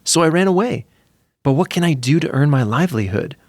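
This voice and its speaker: This is a weary old storybook hound, played by a man, lifted in pitch and plaintive. He sounds hard done by, with lively intonation. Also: a little fast, dry recording.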